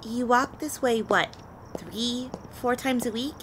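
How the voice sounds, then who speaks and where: A woman's voice: on the high side, noisy background. A woman playing a flirty, teasing, confident vampire in an intimate audio roleplay spoken to the listener. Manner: playful, teasing, unhurried delivery with soft breathy asides.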